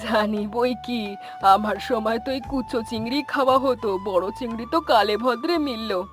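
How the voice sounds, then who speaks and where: A woman's voice: on the high side, moderate pace, faint music behind, close to an everyday voice. A woman playing a kindly, knowing old village grandmother in a cartoon story. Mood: knowing, reminiscing. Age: older.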